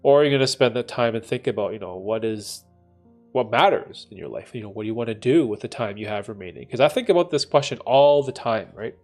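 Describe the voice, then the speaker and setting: A man in his late thirties speaks in a casual, reflective livestream chat. His voice sits at a middle pitch, and he rambles thoughtfully with frequent "right?" tags. Faint music plays quietly behind him. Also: expressive, fast talker. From Canada. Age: 30s. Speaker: livestream host answering chat.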